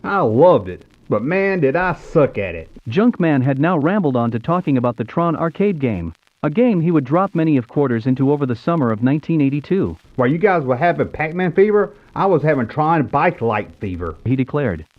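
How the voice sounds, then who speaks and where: A man's voice: a little high, sing-song, a little fast. An American man in his fifties narrating nostalgically in a scripted storybook style. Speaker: YouTube show host. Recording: tinny phone-like narrow-band sound.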